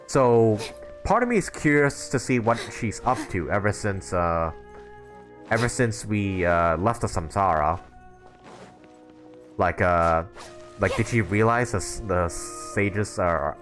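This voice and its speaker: A man's voice: lowish voice, lively intonation, a little slow. A man streaming a video game live, chatting casually and often rephrasing himself as he comments. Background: game music.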